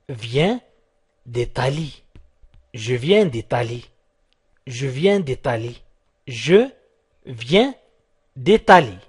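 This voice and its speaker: Brief: male language tutor, higher-pitched, husky tone, repeats short phrases for drilling. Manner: repeats each short phrase slowly several times. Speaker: language teacher.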